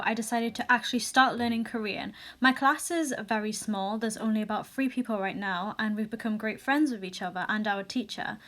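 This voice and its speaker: A young woman reading viewer stories aloud in a casual vlog, with sassy asides and quick restarts. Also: medium pitch, a bit bright. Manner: chatty, sassy asides, frequent laughs and restarts. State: recently sick.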